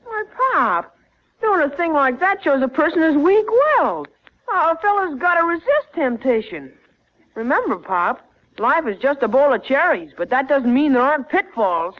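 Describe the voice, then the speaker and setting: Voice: sits high, sing-song, normal speed. A performer playing an earnest, preachy thirteen-year-old boy, the loyal son in a 1940s radio comedy. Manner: earnest, sententious. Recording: muffled old-time radio broadcast.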